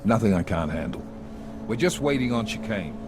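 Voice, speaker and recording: An actor playing a firm, brusque task-force director giving clipped, authoritative briefings in a video game cutscene. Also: male voice, lowish voice, animated, normal speed, background noise, close to an everyday voice.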